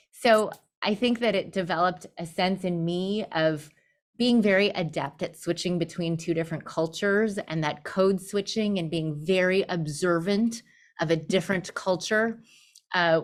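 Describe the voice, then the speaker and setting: Middle-aged Southern California woman guest. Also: lowish voice. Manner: candid, lightly humorous. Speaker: guest, law firm managing partner. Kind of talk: personal storytelling in interview.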